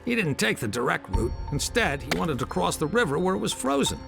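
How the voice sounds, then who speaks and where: Gravelly old-hand miner. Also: male voice, fairly high pitch, big pitch swings, brisk, over music, full character voice. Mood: gruff. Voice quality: gravelly, world-weary. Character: weathered, stubborn veteran male miner. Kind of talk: game character dialogue.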